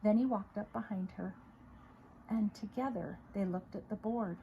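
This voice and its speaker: A woman with a husky voice reading a children's story aloud in an expressive, dramatic way. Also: medium pitch, moderate pace, lo-fi sound, a little hiss or hum. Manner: dramatic, with emphatic repetition and hushed moments. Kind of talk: expressive read-aloud narration.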